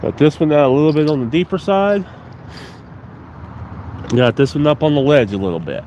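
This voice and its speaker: Man, congested and nasal from a cold, lively up-and-down delivery, relaxed fishing-vlog chat, faint outdoor noise. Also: fairly high pitch, normal speed, highs cut off. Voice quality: stuffy, nasal. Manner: relaxed, chatty, talks to viewers while working. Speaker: fishing vlogger. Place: outdoors by the water.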